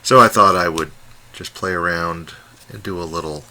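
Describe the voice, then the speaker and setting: Very deep, bright-voiced man, casual. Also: normal speed. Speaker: radio hobbyist presenting a comparison. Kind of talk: casual unscripted demonstration talk. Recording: faint background noise.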